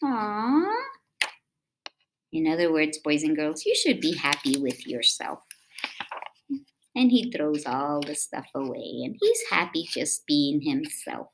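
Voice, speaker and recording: A woman doing an expressive picture-book read-aloud for children, drawing out words and adding chatty questions to her young listeners. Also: sits low, slow, pauses often, a little hiss or hum, poor audio.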